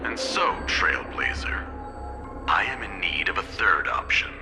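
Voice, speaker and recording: An actor playing a stern, calculating old corporate patriarch in a video game, with measured, formal and authoritative speech. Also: male voice, low voice, sing-song, full character voice. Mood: weighty, deliberate. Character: stern, calculating elderly male corporate elder. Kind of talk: dramatic video game voice acting. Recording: loud music behind the voice. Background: music.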